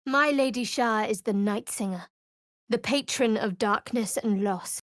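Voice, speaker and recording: Game role, woman performer: secretive young female cleric, sardonic and guarded, lightly high register, very dry studio sound. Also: a little slow, dry recording, natural voice. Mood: solemn. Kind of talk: fantasy video game voice acting.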